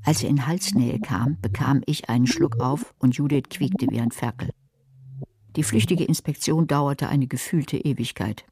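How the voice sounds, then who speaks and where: A woman's voice: extremely low pitch, expressive, normal speed, warm tone, slightly rough, heavily acted. An actress playing a nervy, sardonic woman who schemes and narrates her own crime in a German radio drama, with dry, wry delivery. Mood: wry, matter-of-fact. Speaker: narrator and lead character.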